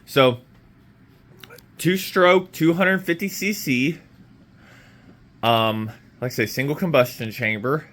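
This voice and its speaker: Guy with a sore throat in his garage, kinda rambly, voice not too high not too low. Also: takes it slowly, pauses often, fairly bright tone. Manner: rambling, with many fillers and restarts. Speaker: motorcycle restoration vlogger. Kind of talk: casual walkaround vlog. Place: home garage.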